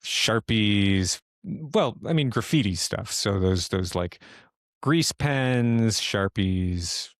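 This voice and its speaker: Guy game mastering a horror rpg, talks slow n halting, kinda low voice, dry sound. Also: expressive, dry recording. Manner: halting, thinking aloud. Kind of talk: improvised narration of a tabletop horror game.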